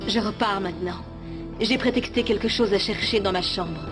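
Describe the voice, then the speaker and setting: A woman voicing a serious undercover informant in a French animated series, speaking in a grave, measured way. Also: mid-range voice, natural voice. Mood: grave, urgent. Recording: music under the voice.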